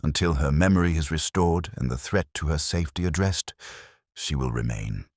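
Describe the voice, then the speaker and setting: A man voicing an imperious genius detective in an audiobook, deep, composed, formal and pedantic. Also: animated, moderate pace, gravelly, no room sound, studio quality, exaggerated voice. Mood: commanding. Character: imperious, dignified male genius detective, coolly immovable.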